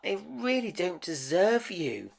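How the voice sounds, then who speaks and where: A kind and brave young woman from a fairy-tale storybook, voiced by a man. Her voice sits well up high, and she speaks earnestly, with a pleading edge. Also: very animated, slow, creaky, slightly rough, exaggerated voice.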